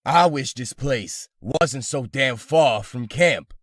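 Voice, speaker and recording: A man's voice: medium pitch, expressive, slow, dry recording, clean studio sound. A man playing a weary, grumbling survivor in an amateur comic dub.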